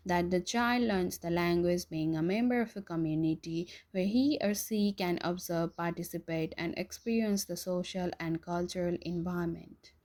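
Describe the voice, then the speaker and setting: A woman's voice: on the low side, a little mellow. Young Nepali-accented woman reading podcast. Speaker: student podcaster. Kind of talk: read-aloud personal podcast.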